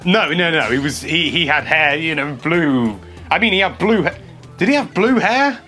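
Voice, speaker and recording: A young man hosting a casual gameplay commentary, chatty and excitable, stumbling over his words and laughing a lot. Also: on the high side, normal speed, soft background music. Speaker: games journalist host. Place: small indoor room.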